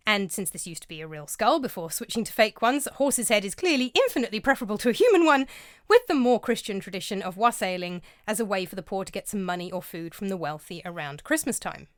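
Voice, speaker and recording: A woman's voice: mid-range voice, dry recording. A woman narrating her vlog in a scholarly, lightly amused tone, reading a prepared script. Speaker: vlogger and narrator. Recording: dry close-mic voice-over.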